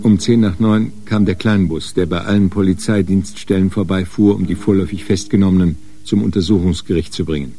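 A man narrating a radio crime drama in a measured, matter-of-fact way. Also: low-pitched, dark tone. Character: sober crime story narrator.

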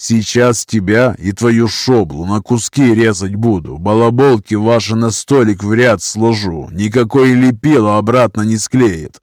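A man's voice: lowish voice, a little slow, hardly any pauses, darkish tone, dry recording, clean studio sound, close to an everyday voice. A man voicing a huge, scarred criminal who makes menacing, drawling threats in prison slang in an audiobook story. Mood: seething, menacing.

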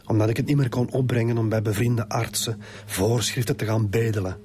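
A man's voice: mid-range voice, steady tone, brisk, dark tone, a little hiss or hum, lightly acted. An actor playing a weary, defensive middle-aged Flemish father in a radio drama. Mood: bitter, resigned. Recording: music under the dialogue.

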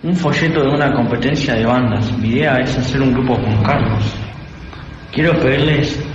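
Casting: man as earnest male teen dreamer for amateur radio drama, mid pitch, muffled recording. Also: moderate pace, lightly acted. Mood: pleading. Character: earnest teenage boy who longs to play guitar. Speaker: student actor in a school radio drama. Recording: echoey hall, muffled, faint noise.

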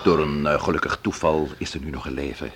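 An actor playing a composed, probing police inspector in a radio play, measured and courteous in his questioning. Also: extremely low pitch, steady tone, slightly rough. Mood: probing, firm.

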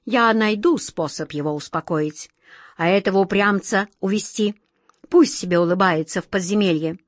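A woman's voice: medium pitch, moderate pace, no echo, studio quality, close to an everyday voice. A woman voicing an angry, scheming male king who speaks imperiously and hisses his orders. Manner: hissing, imperious.

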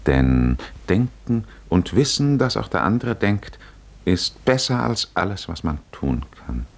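A man with a deep voice narrates a literary radio drama in the style of the 1950s. He speaks slowly and musingly, measuring out his phrases with deliberate pauses. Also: sing-song, gravelly.

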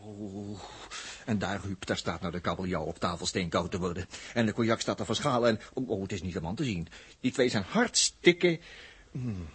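Husky, wry, low-voiced crewman. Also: animated, moderate pace, fairly bright tone, heavily acted. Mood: exasperated. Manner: droll asides, tutting exclamations, folksy hesitant phrasing. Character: wry, chatty male crewman watching and commenting. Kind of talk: 1960s radio drama acting.

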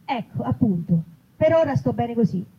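A woman's voice: fairly high pitch, expressive, normal speed, highs cut off, background noise, lightly acted. A woman voicing a defensive young woman on the phone who insists she is fine, in a live reading. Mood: defensive, brisk.

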